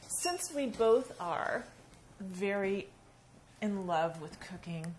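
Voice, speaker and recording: A woman's voice: mid-range voice, expressive, slow pace, darkish tone, a little hiss or hum. A woman hosting a casual conversation, appreciative and encouraging as she chats. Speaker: host interviewing a chef. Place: indoor home kitchen.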